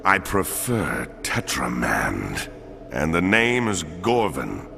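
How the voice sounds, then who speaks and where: A four-armed alien cop with a super deep voice, formal and stiff, game music going under it. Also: male voice, very animated, creaky, in a large hall, full character voice. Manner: formal, measured, commanding. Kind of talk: video game cutscene acting.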